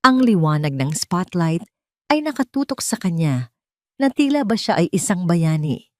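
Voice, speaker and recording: A woman's voice: on the low side, big pitch swings, normal speed, darkish tone, no room sound, clean studio sound. A woman reading a scripted first-person story as a dramatic narrator.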